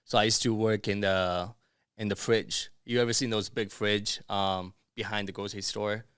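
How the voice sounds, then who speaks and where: Guy on a podcast as guest, chatty and slangy, lowish voice, really dry close sound. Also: level tone, normal speed, a bit bright, smooth, dry recording. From born in Bangladesh, grew up in Dubai and Miami. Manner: informal and chatty, peppered with slang like bro and dude. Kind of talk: casual interview chat.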